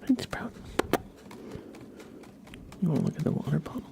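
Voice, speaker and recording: A man chatting casually in a gentle, playful, breathy ASMR whisper as a live streamer, close to the microphone. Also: normal speed, noisy background. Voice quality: breathy whisper with soft creaky murmurs.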